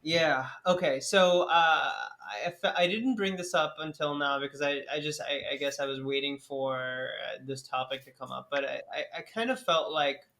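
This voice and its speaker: A man's voice: on the high side, steady tone, moderate pace, few pauses, sharp tone. Hesitant man hosting a film podcast. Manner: hesitant, many restarts, laughs easily. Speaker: podcast host. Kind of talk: casual podcast discussion.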